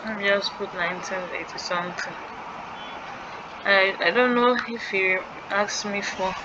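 A woman's voice: mid-range voice, unhurried. A young woman thinking aloud as she fills in an online form for a tutorial, hesitant and musing. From Nigeria. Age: young adult. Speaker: scholarship tutorial creator. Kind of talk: screen-recorded walkthrough. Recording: dull lo-fi sound with clear background noise.

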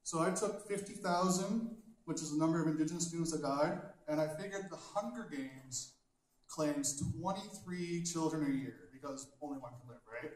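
A young man with a fairly high voice delivers a stand-up set with blunt, dark humor. His handheld mic rings through a big wood-panelled hall, heavily echoey, with a faint hiss under it. He sounds as if recorded by a camera at the back. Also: moderate pace. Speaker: stand-up comedian. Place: large wood-panelled hall with a small stage.

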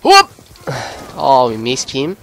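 A man putting on an Australian accent as an excitable, sweary bloke giving live video game commentary in a voice pushed higher than usual. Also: slow pace, vocal fry, exaggerated voice. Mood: focused, chatty. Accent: put-on Australian. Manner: excitable, sweary, breaking into giggles at himself. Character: excitable Australian bloke gamer, jokey larrikin.

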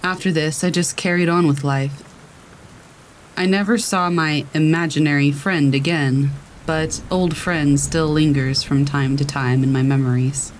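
Higher-pitched man narrating over rain. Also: a little slow, low-quality recording. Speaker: narrator of scary stories. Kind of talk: scripted horror story narration. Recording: steady rain noise over voice. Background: rain sound.

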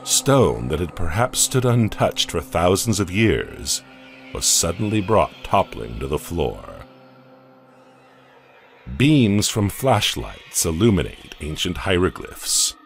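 A man narrating a horror audio comic in a dramatic, measured storytelling manner. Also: sits low, very animated. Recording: music bed underneath.

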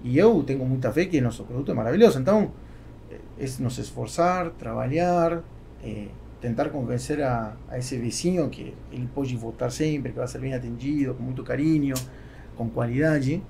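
A man in his forties with a Spanish accent in Portuguese, talking casually and haltingly as a podcast guest. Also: medium pitch, vocal fry, dark tone. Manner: halting, with repeated words. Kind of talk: casual interview talk. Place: small foam-padded podcast studio. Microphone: close studio microphone on boom arm. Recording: faint background noise.

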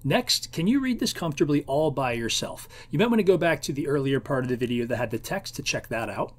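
Animated man presenting books. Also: fairly high pitch, fast talker. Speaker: host of a book read-aloud show. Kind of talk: scripted narration and book preview.

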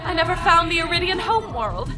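A woman's voice: very high-pitched, animated, fast. A woman playing an earnest, melodramatic scientist in a video game, speaking precisely and nerdily. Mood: mournful. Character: earnest, dramatic female scientist, nerdy and defiant. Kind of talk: video game character acting. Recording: loud music behind the voice.

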